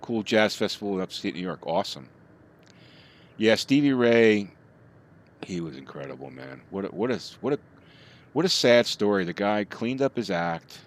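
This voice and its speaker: A man's voice: on the low side, sing-song, moderate pace, long pauses. A middle-aged man chatting casually with his live chat while he plays, rambling from topic to topic. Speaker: streamer.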